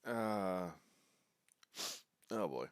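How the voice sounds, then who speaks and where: A man's voice: deep voice, lively intonation, very slow, clear, resonant tone, no echo. A man streaming a video game, nasal and stuffed up with a bad cold, rambling and joking as he reacts to the game. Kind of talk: live gameplay commentary. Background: game music.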